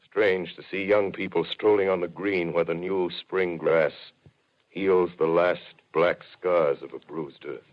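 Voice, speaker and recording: An actor playing a solemn, reflective scholar who survived an invasion, in grave theatrical phrasing for a 1930s radio drama. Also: male voice, sits low, fairly even intonation, a little slow. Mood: wistful. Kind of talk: 1930s radio drama monologue, literary narration. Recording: muffled old 1930s radio broadcast.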